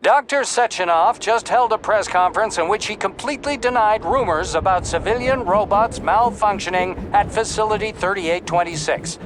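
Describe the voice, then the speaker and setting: Male actor for game role: official bulletin announcer, notably high pitch, noisy outdoor-sounding backdrop. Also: moderate pace, hardly any pauses, close to an everyday voice. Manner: formal, declarative. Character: official news announcer in a Soviet utopia. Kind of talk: scripted news bulletin in a video game.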